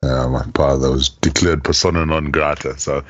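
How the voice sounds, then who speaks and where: A man in his forties from Zimbabwe with an extremely deep, low voice, speaking in a relaxed, matter-of-fact way. The sound is dry and somewhat dull, like a lo-fi remote recording. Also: normal speed, dry recording. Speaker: podcast guest and businessman. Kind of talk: casual podcast chat.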